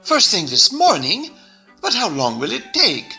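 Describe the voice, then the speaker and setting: A man playing a fussy, flustered Victorian gentleman in a farcical audio drama, fretful and exclaiming. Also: high-pitched, wildly swooping pitch, measured pace, faint music behind, heavily acted. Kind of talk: audio drama farce acting.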